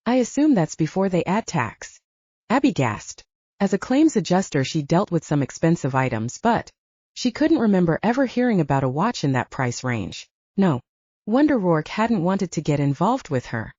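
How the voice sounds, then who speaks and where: A woman's voice: fairly low pitch, sing-song, moderate pace, darkish tone, husky, no echo. A woman reading scripted fiction as an audiobook narrator. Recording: dull, slightly lo-fi, dry recording.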